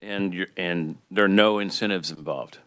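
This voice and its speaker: Deep-voiced male committee chair. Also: a bit bright, smooth, no room sound, clean studio sound. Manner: slow, easygoing questioning. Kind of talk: chairing a hearing. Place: legislative committee room.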